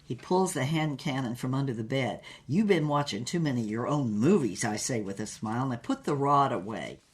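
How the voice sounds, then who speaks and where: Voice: mid-range voice, expressive, brisk, breathy edge. A performer playing a bold, sultry female spy and film star, telling her exploits in dramatic first-person narration.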